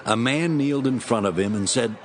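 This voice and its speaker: A man's voice: mid-range voice, brisk. A man giving scripted narration of scripture as the narrator of an audio drama. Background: music.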